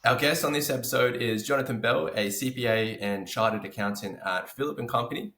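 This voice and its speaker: An Australian man hosting a finance podcast, reading a scripted intro and then chatting in a friendly, relaxed way. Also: mid-range voice, brisk. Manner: friendly, relaxed, with fillers and restarts when off script. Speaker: podcast host and financial advisor. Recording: roomy echo.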